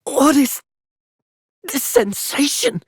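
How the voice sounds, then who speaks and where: Earnest young English soldier hero, close and dry. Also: male voice, very high-pitched, very animated, takes it slowly, creaky, no echo, heavily acted. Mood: troubled, pensive. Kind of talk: video game cutscene voice acting.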